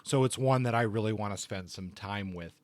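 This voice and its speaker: A man's voice: on the low side, brisk, dry recording. A man co-hosting a podcast, talking through book reviews in a conversational way. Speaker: podcast co-host.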